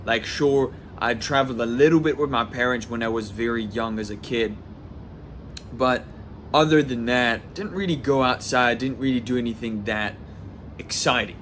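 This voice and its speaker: A man's voice: mid-range voice, normal speed, a bit bright, a little hiss or hum. A man in his twenties from southwest France, talking casually to camera in a rambling personal vlog, full of restarts and "like". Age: 20s. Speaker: vlogger.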